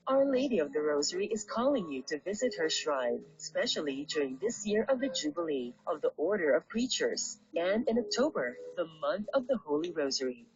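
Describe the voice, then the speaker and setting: A woman's voice: fairly low pitch, big pitch swings, fairly quick, few pauses. A woman radio announcer reading scripted prayers, station credits and event notices. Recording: dull lo-fi radio aircheck. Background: music.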